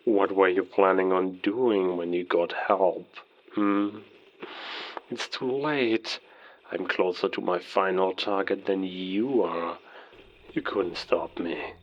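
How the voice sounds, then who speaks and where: An actor playing a cold, taunting werewolf villain in a cinematic audio drama, speaking deliberately and threateningly through a radio filter. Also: male voice, sits low, steady tone. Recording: muffled lo-fi military radio transmission.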